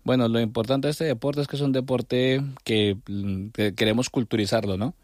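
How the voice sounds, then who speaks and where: A man's voice: mid-range voice, fairly even intonation, moderate pace, a little mellow, no room sound, clean studio sound. A middle-aged man with a Colombian accent, giving conversational answers as a club director in an interview. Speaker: sports club director and coach, interview guest.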